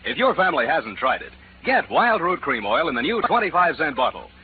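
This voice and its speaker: A man's voice: fairly high pitch, very animated, brisk, slight background noise. A man announcing an old-time 1940s radio show in an emphatic, polished sales delivery. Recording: tinny 1940s radio broadcast recording.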